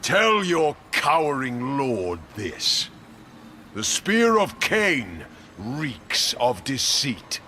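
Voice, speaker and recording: An actor playing a stern, defiant warrior commander in a game, emphatic, deliberate and contemptuous. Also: male voice, medium pitch, huge pitch swings, bright, forceful tone, slight background noise, exaggerated voice. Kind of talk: dramatic game cinematic acting.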